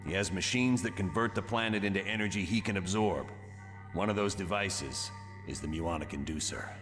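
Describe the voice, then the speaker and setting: An actor playing a gruff, commanding colonel who briefs a team of heroes in a blunt, authoritative way in a video game. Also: male voice, low-pitched, normal speed, fairly bright tone, long reverb tail, soft background music. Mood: stern briefing. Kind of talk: video game cutscene voice acting.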